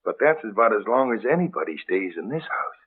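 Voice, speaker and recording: A wary, deferential small-town milkman in a 1930s radio play. His husky voice rises and falls a lot, heard on a tinny, phone-like recording. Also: fairly low pitch, very animated, fairly quick. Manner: hesitant, folksy, deferential. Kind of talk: 1930s radio drama acting.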